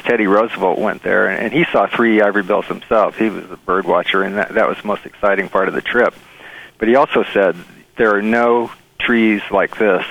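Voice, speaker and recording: A man being interviewed as a bird researcher, telling stories in an earnest, enthusiastic, halting conversational way. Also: on the low side, gravelly, a bit bright, rough recording.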